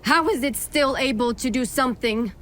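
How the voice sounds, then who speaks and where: A woman's voice: high voice, normal speed, fairly bright tone. A woman playing a proud, commanding night elf warrior in a fantasy radio play, speaking formally and curtly. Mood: alarmed, questioning.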